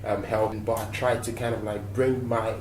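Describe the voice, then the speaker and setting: A man from Nigeria, a guest on a talk show, telling stories in a relaxed, reflective way with many fillers. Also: on the low side, moderate pace. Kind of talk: interview answers. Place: TV studio. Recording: roomy echo, mic at a distance, faint noise.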